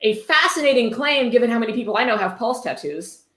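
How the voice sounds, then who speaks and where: A woman's voice: fairly high pitch, lively intonation, fast talker, a bit bright. A woman hosting a casual livestream, reading aloud and reacting in a blunt, exasperated, sweary way. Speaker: livestream host. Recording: roomy, echoey sound.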